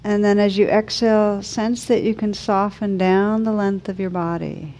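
A woman leading a guided meditation as a teacher, speaking slowly and soothingly with gentle pauses. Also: medium pitch, steady tone.

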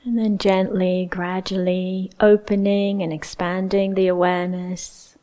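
A woman leading a guided meditation as a teacher, speaking in a gentle, unhurried way with long pauses. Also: mid-range voice, level tone.

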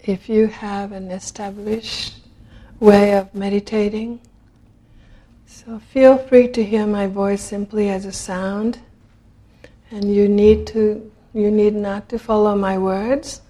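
A woman leading a guided meditation in a gentle, unhurried manner, leaving long pauses between phrases. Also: medium pitch, flat delivery. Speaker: meditation teacher.

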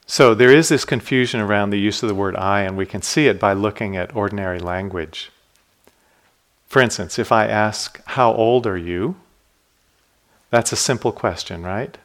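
A man teaching meditation in a dharma talk, calm and reflective, putting questions to his listeners. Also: on the low side, normal speed. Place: retreat center hall.

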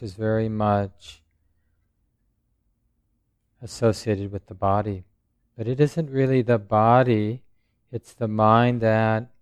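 A man who is a meditation teacher, speaking or chanting slowly and with measured, long pauses. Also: fairly low pitch, a little mellow, clear, resonant tone, dry recording, studio quality. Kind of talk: slow chanting and guided meditation talk. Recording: dull, muffled recording.

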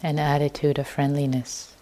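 A woman leading a guided meditation as a teacher, speaking gently in short, unhurried phrases. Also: low-pitched, flat delivery.

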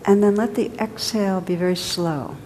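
A woman teaching a guided meditation, speaking gently and unhurriedly in short phrases with pauses between them. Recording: echoey hall, rumbly background noise.

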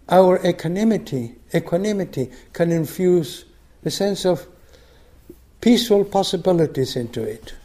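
Older man, slow reflective delivery, mellow voice. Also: on the high side. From Argentina. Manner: slow, reflective, frequent restarts. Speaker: meditation group teacher. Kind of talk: dharma talk.

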